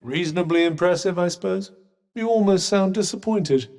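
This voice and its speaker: Droll posh British magical companion in long reverb. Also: male voice, high-pitched, normal speed, darkish tone. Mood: dry, condescending. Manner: posh, droll, understated, faintly condescending. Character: dry, haughty, sardonic talking magical cuff companion. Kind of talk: video game companion voice lines.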